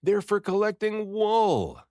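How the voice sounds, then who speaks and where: A man voicing a storybook castaway, excitable and self-doubting, who exclaims and mutters dramatically to himself. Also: high-pitched, slow pace, no echo, studio quality, pitched above the performer's own voice, heavily acted. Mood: elated. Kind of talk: storybook character dialogue.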